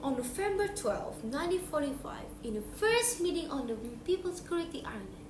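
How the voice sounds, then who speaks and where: A woman's voice: high-pitched, very animated, brisk, music in the background. A young teenage girl with an Indonesian accent, telling a story in a theatrical, earnest competition style. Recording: roomy echo, distant mic, noisy.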